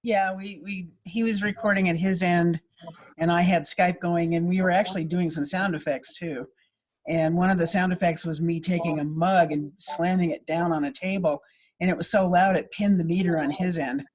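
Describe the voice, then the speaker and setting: A woman's voice: lowish voice, steady tone, moderate pace, a little mellow. A woman who voice acts, casually recounting her experiences in a panel chat. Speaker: amateur voice actor.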